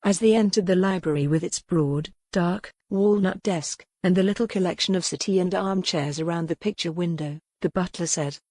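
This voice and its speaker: A woman narrating a novel in a measured, even storytelling manner on a close mic. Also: fairly low pitch, no room sound. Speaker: audiobook narrator. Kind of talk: scripted narration.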